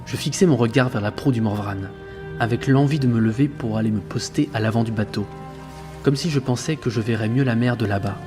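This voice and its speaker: An actor playing an earnest sailor who narrates his ship's log in a measured, reflective way for an audio drama. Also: medium pitch, close to an everyday voice. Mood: uneasy. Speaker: narrator and lead character. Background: background music.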